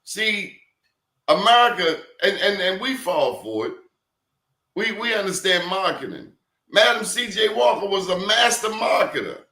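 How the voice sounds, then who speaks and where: A man's voice: sits high, sing-song, a little slow, bright, forceful tone, reverberant. A man talking as a professor guest in casual conversation, telling emphatic stories and throwing out rhetorical questions.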